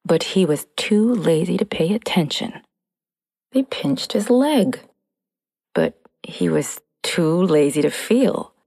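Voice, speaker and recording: Unhurried, mellow woman reading a children's story. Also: fairly low pitch, no room sound, long reverb tail. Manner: unhurried, playful expressive storytelling. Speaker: storybook narrator. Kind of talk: scripted children's story narration.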